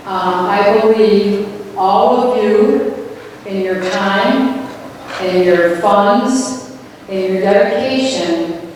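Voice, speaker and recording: A woman's voice: medium pitch, drawn-out words, pauses often, darkish tone, a little hiss or hum. A woman giving a thank-you speech to an audience at a meeting. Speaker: society speaker at annual meeting. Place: large echoey indoor dinner meeting room. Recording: very echoey hall, distant mic, music.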